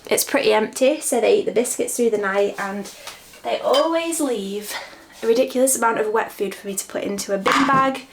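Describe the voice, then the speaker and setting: A woman's voice: a little high, fairly quick, vocal fry, noisy background. A young woman vlogging casually about her day with her cats, a little sniffly from a cold and laughing often. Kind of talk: casual vlog narration.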